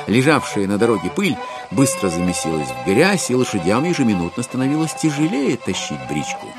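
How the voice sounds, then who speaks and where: Male narrator for literary audio drama, lowish and animated, clear musical backing. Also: fairly quick, music in the background.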